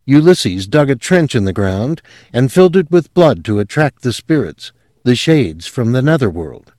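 A man's voice: mid-range voice, big pitch swings, moderate pace, a little mellow, no room sound, clean studio sound, voice pushed up, lightly acted. Man putting on a dreamy young woman. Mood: thoughtful. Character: young woman, eerie and rapturous.

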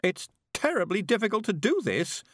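A man voicing a fretful, gentle woman witch who moans anxiously. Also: sits high, very animated, fairly quick, no echo, voice pushed up, full character voice. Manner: anxious, moaning.

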